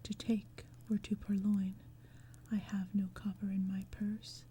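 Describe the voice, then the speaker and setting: A woman voicing earnest young maidens from a fairy-tale poem in a hushed, breathy, lilting whisper. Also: medium pitch, little pitch movement, a little slow, slight background noise, natural voice.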